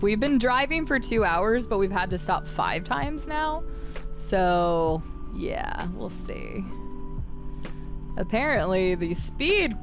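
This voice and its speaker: A young woman with a Midwestern American accent, chatting casually with her stream chat as she plays, laughing often and swearing freely. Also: fairly high pitch. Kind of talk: casual live-stream chat while playing. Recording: music playing behind the voice.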